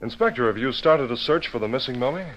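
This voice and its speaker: An actor playing a calm, skeptical newspaperman hero in an old time radio drama, measured and questioning. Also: medium pitch, close to an everyday voice. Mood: calm, probing. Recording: dull old radio recording.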